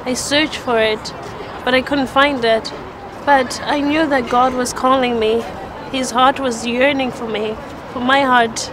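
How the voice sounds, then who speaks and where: A young woman from Zimbabwe tells her faith story in a slightly high, level voice that hardly rises or falls. She speaks earnestly and evenly, with small restarts, while street and traffic noise runs clearly behind her outdoors. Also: fairly even intonation, unhurried, a crowd in the background. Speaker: church testimony speaker. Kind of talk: personal faith testimony.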